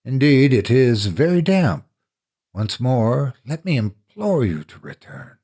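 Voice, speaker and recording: Man acting a mockingly polite, vengeful gentleman, swooping pitch. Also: big pitch swings, slow pace, husky, heavily acted. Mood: mocking courtesy. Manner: theatrical, mockingly courteous. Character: coldly vengeful gentleman murderer, mockingly polite to his victim. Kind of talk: gothic horror storytelling.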